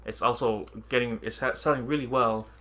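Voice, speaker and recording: Bright-voiced man in casual podcast chat. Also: medium pitch, steady tone, a little fast. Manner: jokey, informal. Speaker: podcast co-host.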